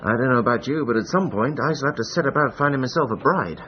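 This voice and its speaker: An actor playing a breezy, self-regarding English gentleman adventurer in a period radio drama, urbane and wry, with formal old-fashioned phrasing. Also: medium pitch, animated, fast, natural voice. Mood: breezy consoling. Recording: dull, band-limited broadcast audio.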